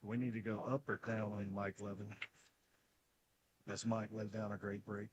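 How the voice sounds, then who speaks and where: Low-voiced calm pool co-commentator man. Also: moderate pace, highs cut off, a little hiss or hum. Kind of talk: casual live-stream commentary. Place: bar near a pool table.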